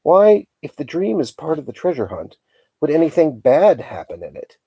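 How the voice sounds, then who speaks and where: A man's voice: on the high side, huge pitch swings, moderate pace, gravelly, mellow, slightly rough, dry recording, pitched above the performer's own voice, heavily acted. A man reading the part of an earnest, puzzled young boy in a storybook, questioning as he works things out.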